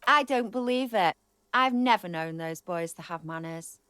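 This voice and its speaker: An actress playing a wry, fond neighborhood local in a game. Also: female voice, lowish voice, animated, moderate pace, fairly bright tone, clear, resonant tone, no echo. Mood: wry fondness.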